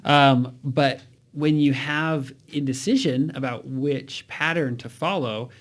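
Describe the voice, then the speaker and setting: A man's voice: medium pitch, takes it slowly. A man speaking as an expert guest, explaining linguistics conversationally with examples and questions, in a didactic way.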